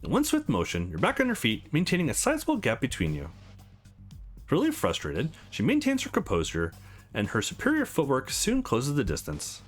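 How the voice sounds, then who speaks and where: A man narrates a visual novel as a let's play host. His delivery is hugely varied and expressive, acting out the text as he goes. Faint game music plays behind him. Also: mid-range voice, normal speed. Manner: expressive, acts out the text as he reads. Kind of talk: dramatic read-aloud of a visual novel with offhand commentary.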